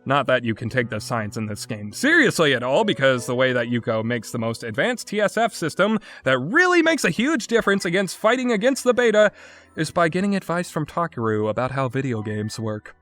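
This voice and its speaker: A man's voice: medium pitch, sing-song, fairly quick, fairly bright tone, faint music behind. A man giving an opinionated video game review in an exasperated, sarcastic and emphatic way, with casual phrasing.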